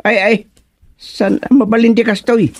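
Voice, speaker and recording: An actor playing a bewildered, stubborn village father with an Ilocano Filipino accent, in drawn-out, exclamatory radio comedy-drama style. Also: very high-pitched, flat delivery, dry recording, exaggerated voice. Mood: alarmed, bewildered.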